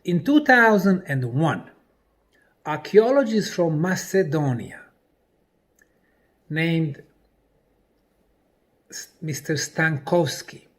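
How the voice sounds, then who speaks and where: A man presenting in a lecture style, deliberately, in short separated phrases with emphasis on key words. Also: a little high, expressive. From Bosnia. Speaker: presenter and researcher.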